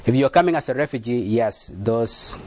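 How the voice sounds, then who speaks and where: A man answering questions on a panel in a halting way, often repeating words and restarting. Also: mid-range voice, animated, darkish tone. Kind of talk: panel discussion.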